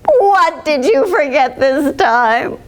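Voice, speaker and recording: A woman's voice: sits high, expressive, takes it slowly, a little hiss or hum, voice pushed up. A woman voicing a fussy, anxious mother in a puppet story, asking the same questions over and over. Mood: fussing.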